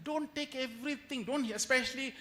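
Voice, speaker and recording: High-pitched, bright-voiced man preaching. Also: a little fast. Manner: animated storytelling with acted-out quotes and rhetorical questions; repeats phrases for emphasis. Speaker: spiritual retreat speaker. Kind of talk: devotional lecture to an audience.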